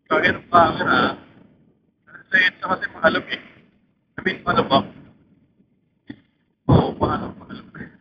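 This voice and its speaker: A man's voice: fairly high pitch, normal speed, long pauses, bright, forceful tone. A young Filipino man chatting casually and rambling in slang, thinking aloud as he vlogs from a motorcycle through a helmet intercom microphone. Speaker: motorcycle vlogger. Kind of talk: casual motovlog chat while riding. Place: outdoors on a motorcycle in traffic. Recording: dull intercom mic with road noise.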